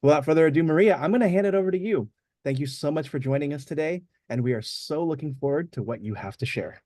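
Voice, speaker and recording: A man hosts an online webinar and introduces the guest in an upbeat, polished way, with a voice a little higher than most men's. The sound is dry and close, like a video call mic. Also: expressive, fast, dark tone, no echo. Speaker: webinar host. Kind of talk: scripted introduction.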